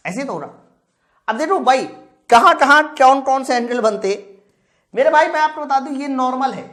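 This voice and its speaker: A man's voice: very high voice, fairly bright tone. A man teaching an exam-prep physics class, working through questions aloud, emphatic and repetitive, often putting questions to his students. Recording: roomy, echoing sound.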